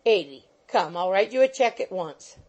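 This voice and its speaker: A woman's voice: medium pitch, expressive, moderate pace, darkish tone, muffled recording, natural voice. A woman voicing a fussy, bustling little male attorney who coaxes and keeps saying "my dear sir". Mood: wheedling. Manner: coaxing, repeats "my dear sir".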